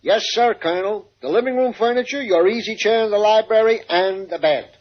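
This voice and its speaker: A man's voice: sits high, fairly quick, soft background music, close to an everyday voice. A man playing a servile, deferential jailer in a 1950s radio drama, eager to please his wealthy prisoners. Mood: eagerly obliging. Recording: dull old radio recording, noisy.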